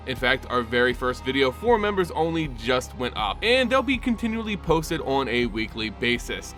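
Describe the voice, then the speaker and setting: A man with a slightly high voice tells a scripted fantasy story as a channel host. His delivery is measured and dramatic, with lively swings in pitch. Soft music plays behind him. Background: background music.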